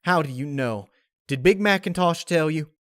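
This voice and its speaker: Raised-pitch young female pony played by a man, earnest, bewildered, urgent questioning, storybook character. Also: moderate pace, no echo, studio quality, close to an everyday voice. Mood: confused, urgent.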